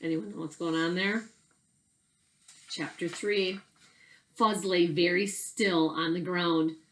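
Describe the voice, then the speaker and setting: A woman reading a children's storybook aloud as a teacher, with deliberate, emphatic phrasing and expressive narration. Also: lowish voice, a little hiss or hum, poor audio.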